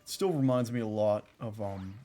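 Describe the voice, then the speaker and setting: A man's voice: fairly low pitch, normal speed, mellow, a little hiss or hum. Dryly joking male game streamer. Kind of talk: casual game commentary with joking asides.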